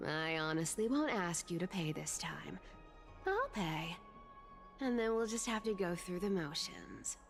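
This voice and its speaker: A woman's voice: on the low side, lively intonation, vocal fry, soft background music. Playful, lilting trickster girl. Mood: teasing. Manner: teasing, sing-song, drawn-out. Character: playful teasing young female trickster demigod, breezy. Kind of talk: video game character acting.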